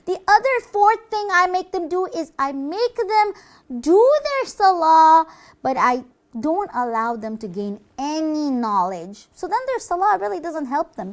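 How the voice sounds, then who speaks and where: A boastful demon henchman from a puppet show, acted out by a woman, chattering in a very high voice. The pitch leaps about theatrically as the creature gloats with gleeful, scheming pride. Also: exaggerated voice.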